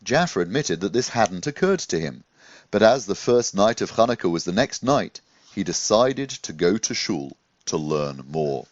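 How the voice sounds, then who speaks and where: A man reads a children's story aloud as an expressive narrator, in a gentle, measured storytelling manner. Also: low voice, muffled recording. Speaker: storybook reader.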